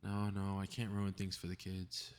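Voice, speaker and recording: Low-voiced, snarky superhero played by a man. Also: monotone, normal speed, a little mellow, smooth, lightly acted. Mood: scheming, amused. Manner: sarcastic, muttering asides, casually profane. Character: cocky, snarky young male superhero playing invisible mischief-maker. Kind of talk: scripted ASMR roleplay audio drama monologue.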